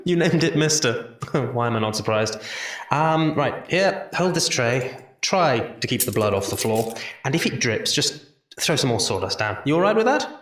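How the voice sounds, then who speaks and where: An actor playing a genial, teasing Victorian doctor with a courteous, slightly formal manner, recorded at a distance in a roomy space. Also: male voice, medium pitch, sing-song, moderate pace, a bit bright. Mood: playful. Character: male Victorian hospital doctor, genial, teasing, enthusiastic anatomist. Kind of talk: audio drama acting.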